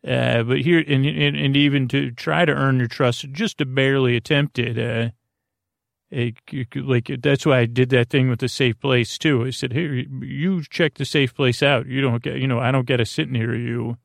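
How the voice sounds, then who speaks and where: A man hosting a podcast in a rambling, whimsical monologue, halting and repeating words as he drifts into tangents. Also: medium pitch, fairly even intonation, creaky, no echo, studio quality. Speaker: podcast host.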